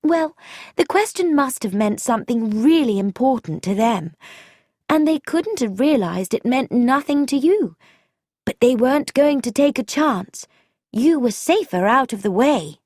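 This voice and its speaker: A woman reading a story, voicing an eager, quick-witted young woman sleuth in a higher, livelier voice. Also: no echo, studio quality. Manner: excited, insistent, animated.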